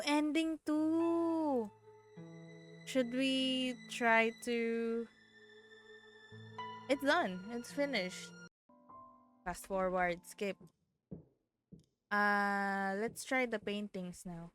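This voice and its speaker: A woman's voice: a little high, extremely slow, long pauses. A woman with a Filipino accent, streaming a game and reading its text aloud. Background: game music.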